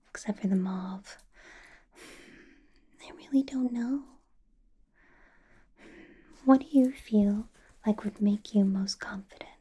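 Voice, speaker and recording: Woman playing a slow, whispering, possessive vampire lady. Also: on the high side. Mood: adoring, flirtatious. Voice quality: breathy soft whisper. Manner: slow, intimate, flirtatious, coaxing. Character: doting, possessive female vampire boss, smitten and coaxing. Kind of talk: ASMR roleplay, personal attention.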